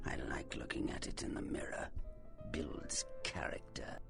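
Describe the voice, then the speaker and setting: An actor playing a taunting, hideous vampire in a video game, theatrical and sly, often sinking into a breathy whisper. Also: male voice, a little slow, a bit bright, natural voice. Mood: mocking. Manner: theatrical, sly, mocking, calls listener boss. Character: taunting, hideous male vampire lurking in shadows. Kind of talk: video game villain acting. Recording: music behind the voice.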